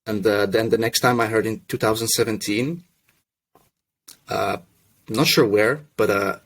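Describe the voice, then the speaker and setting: Young guy, low voice, laid back, keeps restarting sentences, kinda jokey about himself. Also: a little slow. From Russia, raised in Finland. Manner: relaxed, self-deprecating, frequent restarts and fillers. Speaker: podcast guest. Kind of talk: casual podcast chat.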